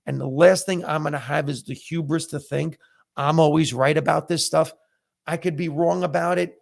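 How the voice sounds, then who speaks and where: Gravelly man on a casual live stream. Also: on the high side, steady tone, moderate pace. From United States. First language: Italian. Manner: conversational, frequent fillers, candid opinions. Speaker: comedian and podcast host.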